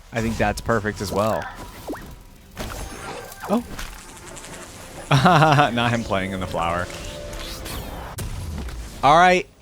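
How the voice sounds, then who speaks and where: Male reaction host, animated pitch, chatty and excitable, faint music underneath. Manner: chatty, excitable, thinks aloud with many fillers. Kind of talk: casual reaction commentary.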